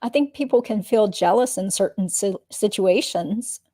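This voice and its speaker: A woman playing a female witness answers under questioning in a deposition. Her voice sits at a medium pitch in a very dry recording. She is guarded and careful, committing to little. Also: normal speed, warm tone, dry recording, close to an everyday voice. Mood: hesitant, recalling. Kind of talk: dramatic reading of a deposition transcript.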